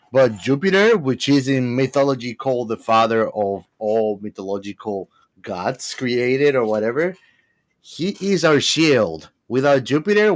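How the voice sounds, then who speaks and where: A man speaking earnestly and emphatically in a casual podcast discussion, musing philosophically with slightly halting, non-native phrasing. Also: mid-range voice. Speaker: podcast panelist.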